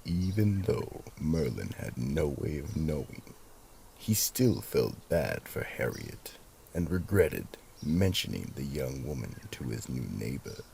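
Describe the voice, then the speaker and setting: An actor playing a grave, gravelly male narrator of a supernatural mystery radio play. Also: rumbling low, very animated, slow pace, a little mellow, a little hiss or hum, full character voice. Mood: grave, suspenseful.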